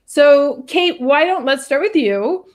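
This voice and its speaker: A woman's voice: high voice, lively intonation. Woman hosting a live webinar chat. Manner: friendly, measured, with frequent fillers. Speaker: host. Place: home. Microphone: video call.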